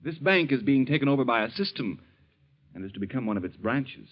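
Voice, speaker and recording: An actor in a 1940s radio drama playing a nosy young bank teller, casually probing and falsely helpful, on a muffled old recording. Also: male voice, medium pitch, lively intonation, brisk, close to an everyday voice. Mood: insinuating.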